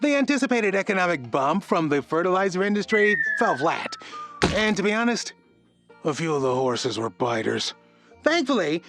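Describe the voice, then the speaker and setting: A man's voice: high-pitched, a bit bright, exaggerated voice. A male actor playing a cheerful small-town tourism promoter, reading a scripted promotional narration in an upbeat, theatrical salesman's manner. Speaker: tourism board spokesman.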